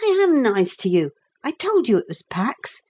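A storybook schoolboy, played by a woman narrator, proud and standoffish, with a somewhat raised pitch. He talks in curt, brisk, proper phrases, impatient yet grudgingly noble. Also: very animated, darkish tone, breathy edge.

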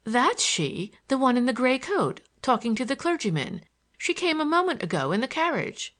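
Storybook society matron, played by a female narrator: lifted pitch, well-bred, cordial, insistent. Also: huge pitch swings, moderate pace. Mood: urgent, hushed gossip. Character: brisk, worldly society matron conferring with her sister.

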